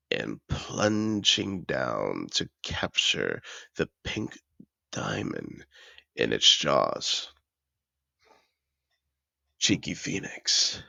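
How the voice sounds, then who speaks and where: A man playing a seductive, possessive dragon in human disguise in an intimate fantasy audio roleplay, teasing and courtly in a slow, gravelly murmur. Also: sing-song, sharp tone, dry recording, full character voice. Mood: sly, tender. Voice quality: very deep, gravelly. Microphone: close, dry microphone.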